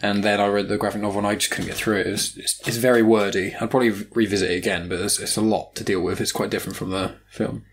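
A man's voice: lowish voice, flat delivery, fast, hardly any pauses, slight background noise. A British man in his twenties hosting a casual film review podcast, rambling and correcting himself as he goes. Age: 20s. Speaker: podcast host.

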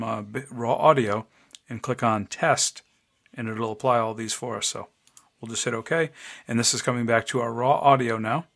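Male tutorial host, deep voice, casual and methodical screencast narration into a desk mic. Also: creaky. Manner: relaxed, talks through each click step by step. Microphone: desk microphone, voice-over of screen recording.

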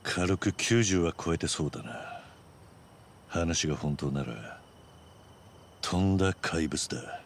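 A man's voice: low voice, expressive, takes it slowly, pauses often, a little hiss or hum, full character voice. An actor playing a cool, commanding veteran yakuza man in a video game, terse, blunt and controlled. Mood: cool, probing. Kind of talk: Japanese video game cutscene acting.

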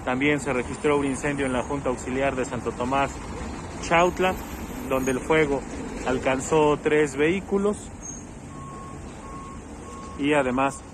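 A man giving a steady, factual live news report on location outdoors, with a few fillers. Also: medium pitch, measured pace, pauses often. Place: outdoors on a city street. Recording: noisy outdoor street recording. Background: street traffic noise.

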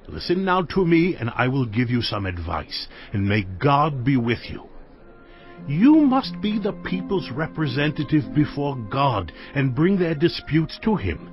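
A man voicing Jethro, a wise older priest giving measured counsel. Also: fairly high pitch, muffled recording.

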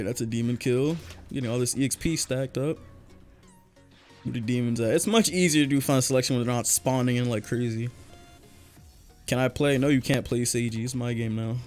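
Young male streamer, mid-pitched, loose and jokey, voice worn from long talking, faint game music behind. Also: darkish tone. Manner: loose, joking, chatty with viewers, laughs often. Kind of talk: casual live-stream chat while gaming.

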